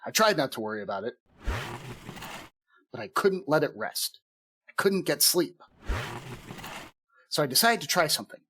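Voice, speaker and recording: A man's voice: mid-range voice, a bit bright, a little hiss or hum. A man playing a bumbling, obsessive head gnome who rambles eagerly in a comedic fantasy audio drama. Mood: mock solemn, then eager, confessional.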